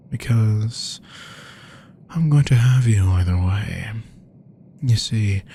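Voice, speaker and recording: A man playing a soft-spoken predatory vampire. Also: fairly low pitch, creaky, a little hiss or hum. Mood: menacing. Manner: slow, soft-spoken, teasing, drops to whispers. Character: courteous predatory male vampire lab technician. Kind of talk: audio roleplay to the listener.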